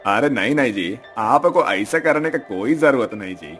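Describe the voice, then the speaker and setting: A man's voice: mid-range voice, expressive, moderate pace, a bit bright, soft background music, natural voice. A man voicing a polite, generous village businessman in a cartoon story. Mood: kindly.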